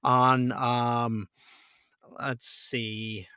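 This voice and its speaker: Bright-voiced man chatting casually, dry sound. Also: medium pitch, little pitch movement, drawn-out words, clear, resonant tone, dry recording. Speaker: podcast guest, voice actor. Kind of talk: casual chat.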